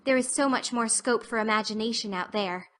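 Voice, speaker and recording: A woman's voice: on the high side, brisk, a bit bright, lightly acted. A woman voicing an earnest, dreamy, talkative orphan girl with effusive, flowery speech in an audiobook. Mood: grateful, dreamy. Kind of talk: audiobook acting.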